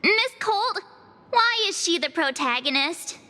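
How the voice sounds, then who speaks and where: A woman playing a cute, eager teenage girl adventurer in an anime game, perky and expressive. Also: very high voice, unhurried, exaggerated voice. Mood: excited, curious. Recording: music behind the voice.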